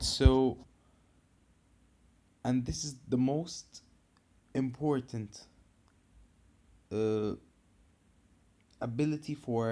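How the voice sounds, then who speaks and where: Slow, hesitant Egyptian man talking games. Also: medium pitch. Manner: hesitant, many fillers and restarts, loud bursts when stressing points. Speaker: gamer making a fan video. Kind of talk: casual game commentary.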